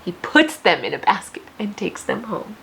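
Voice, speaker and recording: An American woman teacher reading a picture book aloud to young children, expressive, with questions and teaching asides. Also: mid-range voice, normal speed, creaky, a little hiss or hum, low-quality recording.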